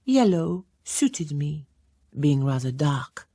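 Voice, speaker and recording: A woman's voice: sits low, sing-song, slow, mellow, no echo, studio quality, full character voice. A woman narrator voicing a confident, playful young Victorian society lady with poised, emphatic delivery, as in an audiobook. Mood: matter-of-fact.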